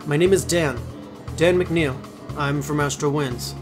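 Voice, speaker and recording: Man playing an earnest, higher-voiced fantasy ranger. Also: a little slow, close to an everyday voice. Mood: wary, polite. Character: earnest young male ranger, wary and scrappy. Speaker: amateur voice actor. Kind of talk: fantasy audio drama acting. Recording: music behind the voice.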